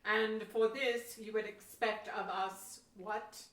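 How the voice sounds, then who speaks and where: A woman's voice: mid-range voice, fairly even intonation, slow pace, a bit bright. A woman playing a devout, resolute Pilgrim pastor in a historical audio play, with earnest, formal old-fashioned phrasing. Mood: formal, interested. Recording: roomy echo, distant from mic.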